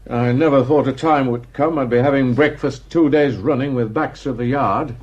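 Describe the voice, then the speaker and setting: A man's voice: medium pitch, normal speed, a little mellow, close to an everyday voice. A man acting a cheeky, knowing newspaper reporter in a radio drama. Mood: wry, knowing. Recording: dull, lo-fi recording.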